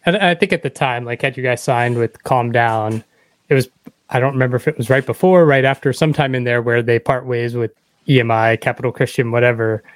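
A man's voice: medium pitch, fairly even intonation, a little fast, no echo. A man hosting a podcast interview in a casual, informal way, thinking aloud in loose phrasing.

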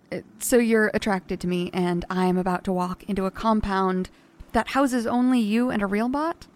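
A woman's voice: medium pitch, a little slow, pauses often, natural voice. An actress playing a candid, sarcastic, questioning female biographer in a naturalistic sci-fi audio drama. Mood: teasing, nervous.

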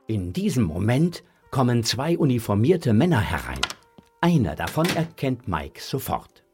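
A man narrating a scripted German children's audio story with a slightly husky voice. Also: medium pitch, sing-song, measured pace, a little mellow, faint music behind.

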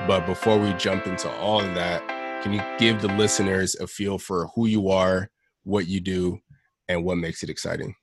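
A man's voice: low voice, little pitch movement, moderate pace, husky, no room sound, soft background music. A man co-hosting a podcast, opening the show in an upbeat, sing-song way. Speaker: podcast co-host. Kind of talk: podcast interview.

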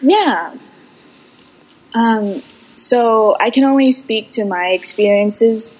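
A young American woman speaking as a podcast guest, reflective and halting as she talks about herself in casual conversation. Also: on the high side, darkish tone, slightly rough, dull audio. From United States, Boston and Houston.

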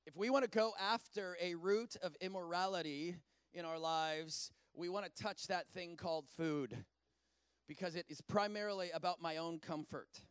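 A man in his forties preaches in a lively, chatty way, swinging from scripture reading into excited asides. His voice sits high and carries smooth, resonant. The sound is dry and close. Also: normal speed, no echo. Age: 40s. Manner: animated, conversational preaching with asides. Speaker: pastor. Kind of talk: sermon.

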